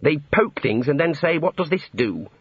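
A man's voice: fairly high pitch, sing-song, normal speed, slightly rough, no room sound, phone-quality sound, voice pushed up. A man voicing an earnest, exasperated young wizard scholar, gloomy, hesitant and awkwardly polite, in an audiobook story. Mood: anxious. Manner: hesitant, gloomy, long-suffering.

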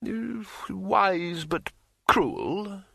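A man voicing an effete, sly astronomer ruler in an audiobook, with hushed, drawn-out, stammering speech. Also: sits high, gravelly, no echo, clean studio sound, pitched above the performer's own voice, exaggerated voice. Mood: mild, indulgent. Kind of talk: audiobook character voice.

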